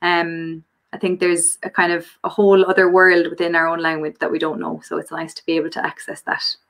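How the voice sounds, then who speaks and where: A young Irish woman telling stories in a lively way during a casual live-stream chat. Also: fairly low pitch, a little fast, vocal fry. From Ireland. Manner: lively storytelling.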